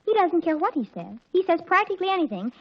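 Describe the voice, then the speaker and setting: An excitable teenage girl with a high voice, breathlessly earnest and dramatic. She pleads her way through a 1940s radio family comedy. The old recording is dull and narrow. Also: quick pace, exaggerated voice. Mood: anxious pleading.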